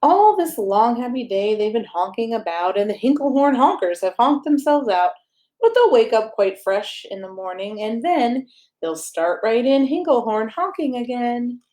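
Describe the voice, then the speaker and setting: A woman's voice: fairly high pitch, big pitch swings, moderate pace, mellow. Woman reading a children's bedtime story aloud. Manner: playful, addressing young listeners directly with questions. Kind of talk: expressive read-aloud of a rhyming children's picture book.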